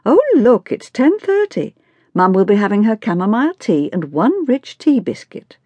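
A woman's voice: medium pitch, big pitch swings, moderate pace, darkish tone, slightly rough, dry recording, dull audio, pitched above the performer's own voice. A woman voicing a sulky, mocking fourteen-year-old girl in audiobook dialogue, with a whiny, sarcastic manner. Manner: whiny, sarcastic teenage complaining.

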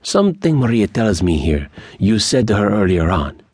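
A man voicing a sociable yet menacing bandit leader in an audiobook, smooth and deliberate. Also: fairly low pitch, sing-song, a little mellow, no echo, clean studio sound, pitched above the performer's own voice.

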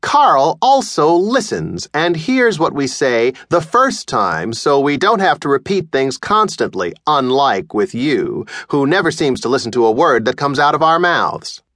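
A prim, chilly middle-aged mother speaks in a raised, scolding tone, as a man puts her on. Her voice swings up and down in a lively way. The sound is dry. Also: a little slow, hardly any pauses, no room sound, exaggerated voice. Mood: cold. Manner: primly scolding, higher-pitched.

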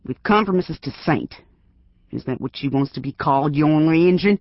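A man voicing a nervous, blustering middle-aged sheriff with a reedy voice, gruff and demanding. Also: sits high, unhurried, no room sound, muffled recording, pitched above the performer's own voice. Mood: angry.

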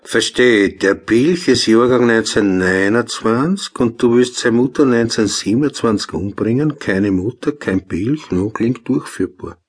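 An actor playing a grumbling, sceptical ex-detective with a Viennese accent, dry and laconic, in a comic radio play. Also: male voice, mid-range voice, normal speed, few pauses, husky, natural voice.

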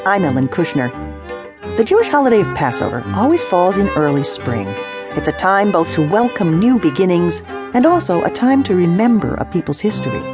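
A woman's voice: lowish voice, big pitch swings, a little fast. A woman giving scripted narration as the presenter of an audio drama. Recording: fiddle music behind.

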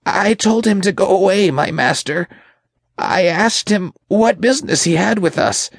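A man voicing a frightened, servile demon underling with a high, raspy, creaky voice and a timid, halting manner in an audiobook. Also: animated, no echo, studio quality, heavily acted. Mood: ashamed, fearful. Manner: timid, halting, whimpering, slow. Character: groveling, frightened male impure spirit, servile demon underling. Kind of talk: dramatic audiobook character voice.

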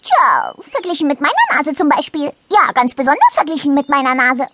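A woman's voice: sits high, very animated, brisk, heavy background noise, pitched above the performer's own voice. A woman voicing a tiny, frank dormouse in a storybook, with a chirpy, blunt and emphatic manner. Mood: teasing. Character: tiny frank dormouse, lively and matter-of-fact. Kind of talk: storybook character voice.

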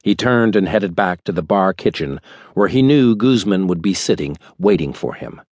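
A man reading a thriller audiobook in a measured, dramatic storytelling manner. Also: low voice, animated, darkish tone, dry recording. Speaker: audiobook narrator. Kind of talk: scripted thriller audiobook narration. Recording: dry studio recording.

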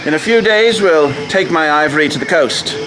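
An actor playing a seasoned, gruff big-game hunter with a dry, wry, blunt manner in a radio adventure drama. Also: male voice, on the high side, expressive, moderate pace, close to an everyday voice. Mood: wry, sober. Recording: noisy outdoor sound effects behind.

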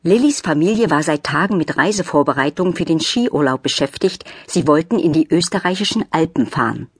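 A woman's voice: low-pitched, expressive, brisk, slightly rough, no echo, studio quality. A woman reading a children's story as a scripted audiobook narration.

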